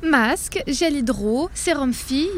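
A woman's voice: high-pitched, animated, measured pace. An actress playing a feisty, foul-mouthed young woman protester, indignant and slangy, in a radio fiction. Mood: agitated, indignant. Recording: noisy street ambience behind.

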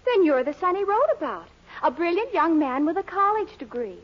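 An actress playing a confident, scheming young woman in a 1940s radio sitcom, brisk, coaxing and commanding. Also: extremely high pitch, big pitch swings. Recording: muffled old 1940s radio recording.

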